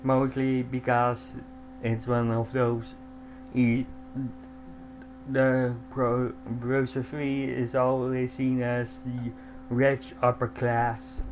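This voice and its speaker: A man hosting a casual online call, explaining things slowly with halting restarts. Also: medium pitch, monotone, darkish tone, dull audio. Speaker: host. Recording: faint mains hum behind.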